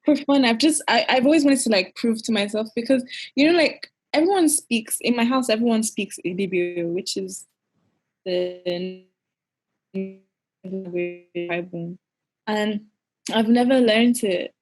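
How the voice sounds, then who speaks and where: A young woman with a breathy, husky edge and a higher pitch talks as a podcast guest. She is animated and giggly, scattering "like" through her sentences. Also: a little slow, poor audio. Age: young adult. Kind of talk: casual interview chat.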